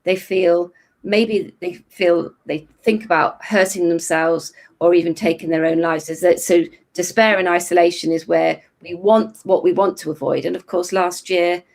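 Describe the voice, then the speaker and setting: A middle-aged woman therapist with a croaky voice, giving thoughtful explanatory answers as an interview guest, restarting phrases now and then. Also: lowish voice, steady tone, moderate pace, a little mellow.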